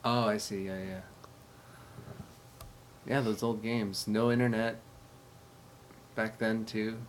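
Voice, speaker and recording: A man's voice: lowish voice, flat delivery, slow pace, a little hiss or hum. A man co-hosting a podcast in a casual, playful, mock-earnest chatting style. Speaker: podcast co-host. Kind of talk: casual chat.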